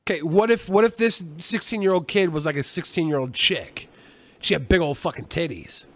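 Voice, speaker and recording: A man's voice: on the high side, lively intonation, brisk. A man co-hosting a podcast, chatting casually in a jokey, rambling, profane way. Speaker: podcast co-host.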